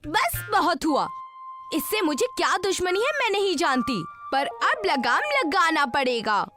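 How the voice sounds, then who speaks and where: A woman's voice: very high-pitched, big pitch swings, unhurried, music in the background, exaggerated voice. A woman voicing a strong, hot-tempered, greedy village woman in a fairy tale, with an indignant, emphatic manner. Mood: fed up.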